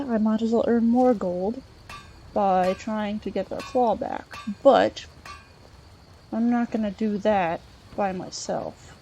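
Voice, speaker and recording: A woman narrating a video game in character as an adventuring scholar, halting and thinking aloud as she goes. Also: on the high side, mellow, a little hiss or hum, poor audio. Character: chatty Breton scholar woman, treasure-hunting adventurer. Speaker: let's play host role-playing a character.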